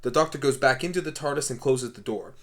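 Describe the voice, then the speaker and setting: A man's voice: medium pitch, fast talker, a bit bright. Man narrating an amateur radio play. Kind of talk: scripted narration of stage directions.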